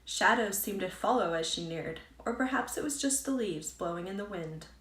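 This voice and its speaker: A woman's voice: mid-range voice, expressive, normal speed, fairly bright tone. A woman reading a storybook aloud as a scripted narration, as an author reads her own book. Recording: roomy echo, distant from mic.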